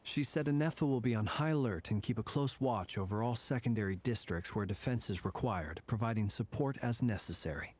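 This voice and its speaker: A man voicing a calm, earnest fae warrior in a game, speaking in a measured, formal way. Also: mid-range voice, natural voice. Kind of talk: video game voice acting. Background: game music.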